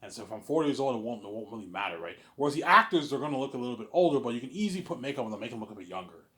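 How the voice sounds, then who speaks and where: Young man, mid pitch, loose off-the-cuff monologue, circling back over his own phrases. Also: sing-song, very fast, sharp tone. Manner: repetitive, often restarting phrases. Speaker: speaker in a personal series about his planned film. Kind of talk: rambling casual monologue.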